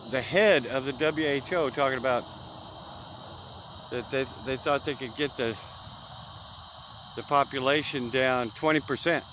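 A man, rambling folksy vlog talk outdoors at dusk, recorded on a close-held phone. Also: medium pitch. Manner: rambling, folksy, trails off with fillers. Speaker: vlogger. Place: outdoors in dense brush at dusk. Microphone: phone camera held close. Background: crickets, insects and distant traffic.

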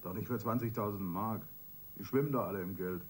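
Actor as low-voiced, sardonic police inspector. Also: male voice, brisk, a little hiss or hum, lightly acted. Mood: dismissive. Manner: dry, colloquial, dismissive. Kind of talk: German radio crime drama acting.

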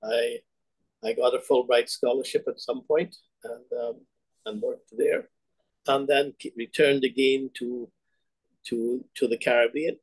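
A man's voice: mid-range voice, steady tone, unhurried, breathy edge, no echo, low-quality recording. An older man from Guyana, a bank chief, telling his story on a video call with hesitant restarts and fillers. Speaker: bank CEO guest. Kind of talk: remote interview, reflective storytelling. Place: home room, indoors. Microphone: webcam on video call.

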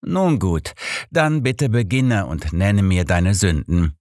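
A man's voice: low voice, expressive, takes it slowly, a little mellow, no echo, clean studio sound. A man voicing a confident, cunning old detective with an assured, persuasive manner in an audiobook. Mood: solemn. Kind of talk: audiobook character dialogue.

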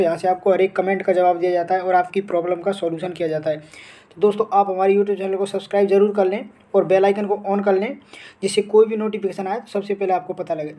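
An Indian man explains things step by step as a tutorial host. His voice is mellow and stays within a narrow, flat range. He talks informally, often repeating phrases and checking that the listener follows. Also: sits high. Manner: repetitive, with frequent check-in tags. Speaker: host of an educational update channel. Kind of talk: informal screen-share tutorial. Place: voice-over on a phone screen recording.

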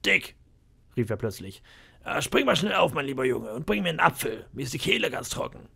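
A man's voice: mid-range voice, sing-song, fairly quick, gravelly, pushed and bright, slightly rough, exaggerated voice. A man voicing a cunning old pirate cook in an audiobook, wheedling and boastful with flashes of menace. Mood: scheming. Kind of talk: audiobook character voice.

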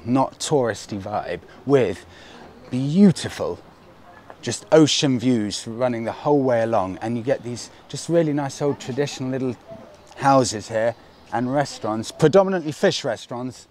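A man's voice: medium pitch, a little mellow, slight background noise. A man in his thirties chatting enthusiastically to camera on a lapel mic, touring a seaside village and cafe. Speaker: travel vlogger. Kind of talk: casual travel vlog to camera. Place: outdoors on a seaside promenade and in a cafe. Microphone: clip-on lapel mic.

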